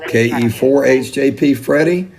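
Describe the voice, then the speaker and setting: A man's voice: medium pitch, a little slow, creaky. A man working as net controller, calling a procedural roll call on a ham radio net.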